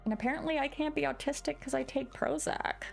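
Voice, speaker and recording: A woman's voice: high voice, a little fast, creaky. A woman hosting a vlog, reading posts dramatically and commenting on them in a sarcastic, theatrical way. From Canada.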